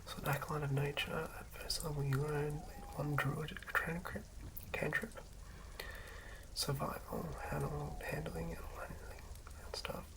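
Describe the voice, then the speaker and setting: A host whispering in a breathy, hushed ASMR style, reading aloud and commenting casually in an unhurried way. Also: medium pitch, little pitch movement, very noisy. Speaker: host reading and building a tabletop game character.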